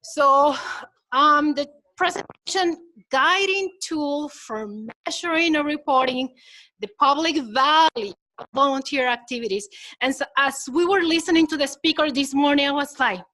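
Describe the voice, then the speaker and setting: High-voiced Minnesotan woman presenting. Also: normal speed, low-quality recording. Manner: chatty, acts out quotes in lively voices; engaged with audience, asks rhetorical questions. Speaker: conference presenter. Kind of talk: presenting to a conference audience.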